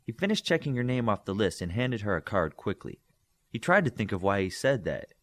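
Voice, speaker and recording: A man's voice: lowish voice, sing-song, brisk. A man reading scripted story narration as an audiobook reader.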